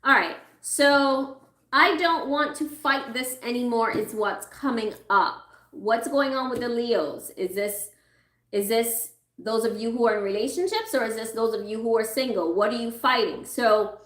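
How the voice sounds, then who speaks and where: Chatty woman reading tarot, slightly hoarse. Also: fairly high pitch, a little slow, fairly bright tone, echoey room. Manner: chatty, reassuring, thinks aloud while pulling cards. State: losing her voice, clearing throat. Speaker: tarot reader. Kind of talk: casual tarot reading to viewers.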